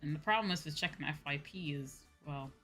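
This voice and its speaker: Bright-voiced woman chatting casually on stream. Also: low-pitched, fast talker, faint music behind. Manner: informal ranting, slangy and profane. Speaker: VTuber livestream host. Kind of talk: casual livestream chat.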